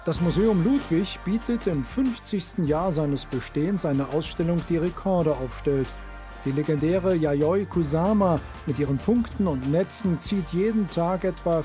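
A man reading a scripted TV report narration in a smooth, measured way. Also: a little high. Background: soft music.

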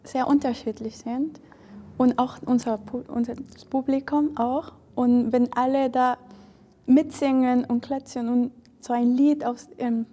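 A woman's voice: high-pitched, steady tone, unhurried, dark tone. A woman choir member giving an interview soundbite. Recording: faint background noise and ambience.

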